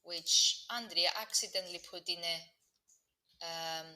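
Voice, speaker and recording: Bright-voiced Greek Cypriot woman analyst. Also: on the low side, steady tone, slow pace, rough recording. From Cyprus. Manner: hesitant, many fillers and restarts, chatty asides to viewers. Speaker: market analyst presenting a live webinar. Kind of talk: live market commentary.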